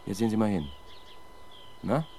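A man's voice: lowish voice, sing-song, moderate pace, dark tone, a little hiss or hum. An actor playing an observant, matter-of-fact male police sidekick, musing aloud in a 1970s German radio crime drama. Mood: curious, musing.

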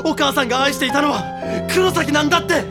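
An actor playing a distraught, accusing teenage boy in an audio drama, rough, pleading and insistent in the way he talks. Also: extremely high pitch, quick pace. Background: music.

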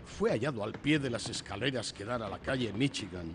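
An actor with a Castilian Spanish accent playing a wily, chatty homicide lieutenant who questions in a rambling, insinuating way, in a radio drama. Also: male voice, mid-range voice, animated, a little fast, background noise, lightly acted. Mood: probing, confiding. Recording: music under the voice.

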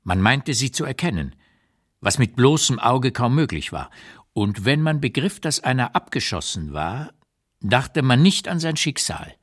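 A man reading as an old writer looking back on wartime London in a measured, deliberate literary radio narration. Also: medium pitch, big pitch swings, fairly bright tone. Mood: reflective, unsparing. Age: older. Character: old male writer recalling wartime London, unsparing, reflective.

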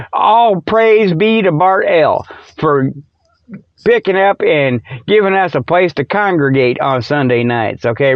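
A man with a notably high voice hosts a homemade-sounding comedy radio show. He rambles off the cuff in a folksy, joking way, sliding into mock sales pitches. The audio is close and dry, with a slightly dull, lo-fi tone. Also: no echo. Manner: folksy, jokey, chuckles at own jokes.

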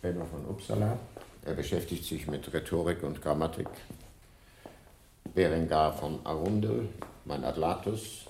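An actor playing a gaunt, stern, aloof monastery librarian in a radio play, speaking in a solemn, measured, formal way. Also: male voice, low voice, a little mellow. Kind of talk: German radio play acting. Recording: faint noise, 1980s radio play.

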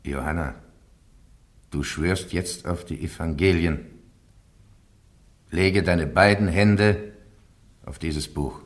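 An actor playing a stern, formal bishop who presides over a trial in a solemn, measured, ceremonious way in a radio play. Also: male voice, sits low. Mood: quietly admonishing. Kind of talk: German radio play acting. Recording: echoey courtroom-like hall, radio drama.

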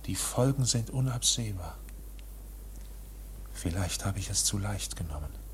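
An actor playing a harried, proud bank officer in a German radio play, formally polite but distracted and agitated. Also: male voice, lowish voice, animated, a little slow, heavy background noise. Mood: brooding.

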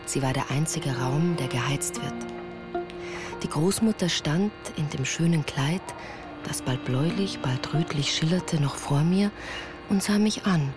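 An actress playing a woman who recalls her village childhood, narrating in measured, reflective literary prose for a radio play. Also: sits low. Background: music.

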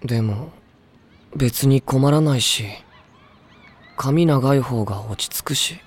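A man playing an aloof, unbothered high school gamer in an anime audio drama, giving deadpan, mumbled short replies. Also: slow, breathy edge. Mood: indifferent.

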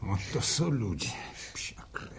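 A man's voice: medium pitch, big pitch swings, measured pace, noisy background. An actor playing an earnest, decisive young farmer in a radio drama, speaking plainly and urgently as he takes charge. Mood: urgent, determined. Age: young adult. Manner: urgent, plain rustic speech, commanding.

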